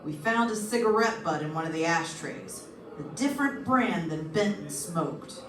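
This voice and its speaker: A woman's voice: lowish voice, animated, moderate pace, fairly bright tone. A woman playing a cautious, matter-of-fact police lieutenant in an old-time radio detective drama. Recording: echoey stage, distant mic.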